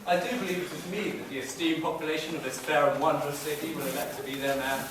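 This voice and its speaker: A man playing a grandiose, excitable comic rival candidate in a pantomime, heard in an echoey space. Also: on the high side, quick pace, natural voice. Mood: pompous. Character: pompous, squabbling comic male rival candidate. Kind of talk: pantomime stage acting. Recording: far, echoey noisy stage.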